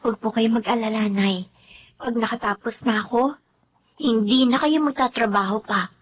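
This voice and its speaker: Caring young student daughter, polite, earnest, husky, slightly muffled radio-drama recording. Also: female voice, a little high, normal speed. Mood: reassuring. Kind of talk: Filipino radio drama acting.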